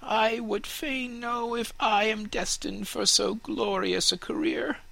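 A woman's voice: fairly high pitch, steady tone, slow pace, gravelly, a bit bright, voice pushed up, exaggerated voice, rough recording. A woman voicing a restless young fir tree in a storybook reading, full of longing exclamations. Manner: yearning, breathless exclamations.

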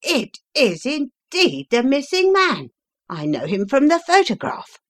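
A woman's voice: deep voice, big pitch swings, slow pace, heavily acted. A woman reading the part of a veteran police inspector, blunt and chuckling. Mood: astonished. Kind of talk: audiobook character reading.

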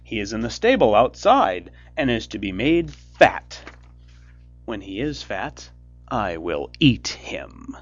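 Male narrator doing a wicked old witch: shrill, scheming, raised pitch, faint music behind. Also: sing-song, slow, heavily acted. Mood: harsh commanding. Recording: dull lo-fi recording with faint music.

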